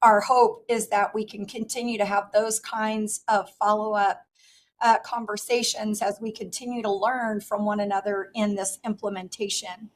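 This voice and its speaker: A woman in her fifties, hoarse from losing her voice, giving formal testimony as a regional director over a remote call. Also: medium pitch, normal speed, slight background noise. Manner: earnest, steady, with fillers. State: hoarse, lost voice. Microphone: remote call audio.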